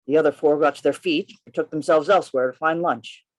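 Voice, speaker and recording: An actor in a radio play reading, playing an undercover policeman who tells his own story in a deliberate, theatrical manner. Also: medium pitch, close to an everyday voice. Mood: measured narration. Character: Gabriel Syme, tense undercover policeman telling his story. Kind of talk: dramatic first-person radio play narration. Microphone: video call.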